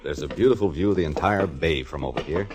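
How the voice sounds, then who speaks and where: A man's voice: a bass voice, fast talker, noisy background. A man playing a menacing army sergeant who can turn from polite to threatening in a vintage radio detective drama. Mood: smooth, courteous. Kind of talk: 1940s radio detective acting. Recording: vintage 1940s-50s radio recording.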